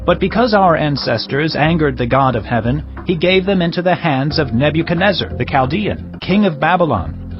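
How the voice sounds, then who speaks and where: An actor playing a dutiful provincial governor who reports to his king in a formal, earnest, deferential way. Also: a little high. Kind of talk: dramatized scripture reading. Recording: dull lo-fi audio under clear music. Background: music.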